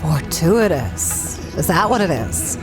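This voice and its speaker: An actress playing a wry, defiant treasure-hunting adventuress in a 1930s-set audio drama, talking in a dry, sarcastic way. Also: female voice, low-pitched, sing-song, slow, over music, full character voice.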